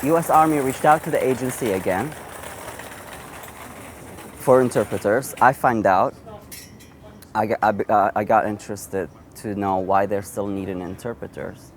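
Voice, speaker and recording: A man in his thirties from Iraq, an Assyrian speaker, telling his own story in an interview in a halting way, with frequent restarts. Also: fairly low pitch, slight background noise. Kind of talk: personal storytelling.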